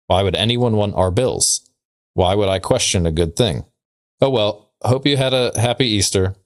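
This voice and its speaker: A man co-hosting a casual podcast, bantering and reading letters aloud in a conversational way. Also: low-pitched. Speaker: podcast co-host.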